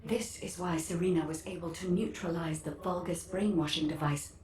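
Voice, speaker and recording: A woman playing a composed, earnest scientific analyst in a video game, speaking in a measured, formal way. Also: fairly low pitch, fairly even intonation, a little mellow, lightly acted. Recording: distant, echoey game audio, faint noise.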